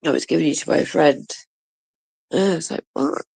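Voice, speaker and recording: Gravelly, congested woman streaming. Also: sits low, moderate pace, a little mellow, no room sound. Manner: swearing, gossipy, laughs often. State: bunged up with a cold. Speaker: livestream host. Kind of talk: casual chat with viewers.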